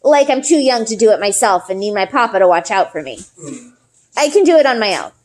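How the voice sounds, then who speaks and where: A woman's voice: mid-range voice, big pitch swings, brisk, a little mellow, natural voice, low-quality recording. A woman voicing an earnest, anxious young girl from a storybook, in a pleading, insistent manner.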